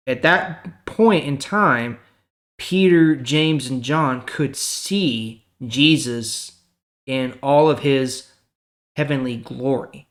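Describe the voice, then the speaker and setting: A man reading the Bible and explaining it in a casual, conversational way as host. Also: mid-range voice.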